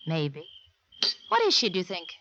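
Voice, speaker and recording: A genteel, wary older wife with a lowish voice and expressive swings. The 1940s radio drama recording is dull and noisy. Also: female voice, normal speed. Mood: uneasy, suspicious. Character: wealthy older wife, genteel and uneasily suspicious.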